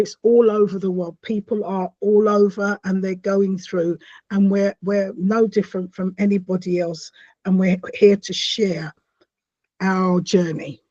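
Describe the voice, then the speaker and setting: A woman hosts an interview in a chatty, welcoming way. Her voice is relaxed and mellow. The sound is dry and somewhat dull, like a lo-fi call. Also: mid-range voice, dry recording. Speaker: host. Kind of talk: conversational interview.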